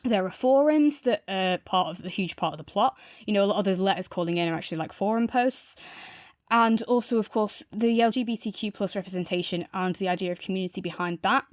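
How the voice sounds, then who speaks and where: A woman's voice: medium pitch, a little fast, dry recording, studio quality. A woman co-hosting a podcast in a casual review chat, enthusiastic and wandering freely between points. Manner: enthusiastic, discursive. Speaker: podcast co-host.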